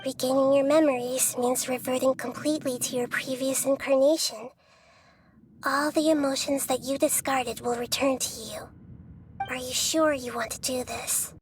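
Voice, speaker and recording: A woman's voice: high voice, steady tone, noisy background. A woman voicing a small, wise goddess. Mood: solemn. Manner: gentle, measured, thoughtful. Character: young childlike goddess, calm and wise. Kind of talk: video game cutscene dialogue.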